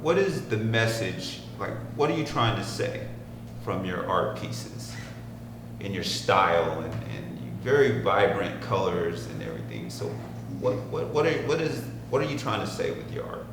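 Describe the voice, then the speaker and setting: Man co-hosting a podcast, kinda low voice, sounds far from the mic, faint hum. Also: big pitch swings, moderate pace, reverberant. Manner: friendly, asks questions, repeats words. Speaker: podcast co-host. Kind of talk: casual interview hosting.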